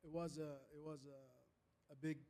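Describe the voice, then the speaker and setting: Mellow, higher-voiced man with an Arabic accent. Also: level tone. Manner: halting, repeats words, emphatic short phrases. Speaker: guest speaker, transformation leader. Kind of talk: fireside chat, anecdotal storytelling.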